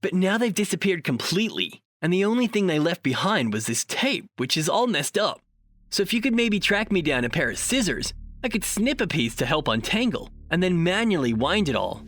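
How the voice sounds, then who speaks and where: An actor playing a chatty, cocky male paranormal investigator in an audio drama, talking in a wordy, animated way. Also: high-pitched, fairly quick, dry recording, full character voice. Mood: apologetic, pleading. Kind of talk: audio drama dialogue acting.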